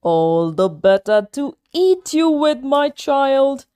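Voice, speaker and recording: Woman reader as a big bad wolf: sly, slow, mellow, wheedling storybook villain. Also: high-pitched, very animated, pitched above the performer's own voice, heavily acted. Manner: smooth, coaxing and sly. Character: hungry, cunning big male wolf.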